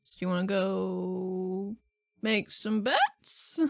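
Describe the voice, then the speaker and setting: A woman playing an excitable, easily starstruck young woman, gushing and flustered, in a tabletop game. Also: medium pitch, drawn-out words, vocal fry, dry recording, studio quality, voice pushed down. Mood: eager, friendly.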